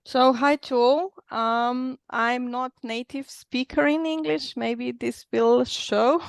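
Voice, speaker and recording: A woman from Slovenia with a Slovenian accent, sharing her experience as a coaching client in hesitant, careful English, often pausing to search for words. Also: fairly high pitch, fairly even intonation, gravelly, clear, resonant tone, dry recording. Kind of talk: personal account in a group coaching session.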